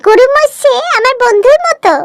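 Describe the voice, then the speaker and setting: A woman's voice: very high voice, brisk, dry recording, clean studio sound, pitched above the performer's own voice. A woman voicing a polite, earnest young village bride in a cartoon. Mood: eager. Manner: respectful, earnest. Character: polite, eager young village daughters-in-law, simple and earnest.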